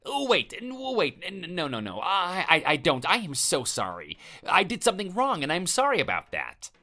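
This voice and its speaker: A man's voice: a little high, huge pitch swings, moderate pace, pushed and bright, faint music behind, pitched above the performer's own voice, heavily acted. A man voicing a cowardly male neighbor who stammers and pleads, apologizing over and over. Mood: panicked.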